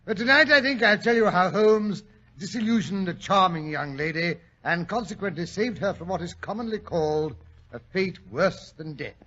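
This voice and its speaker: An actor playing a genial, fussy English gentleman storyteller in a 1940s radio drama, bumbling and chatty with little exclamations. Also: high voice, moderate pace. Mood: genial, reminiscing. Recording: dull 1940s radio recording.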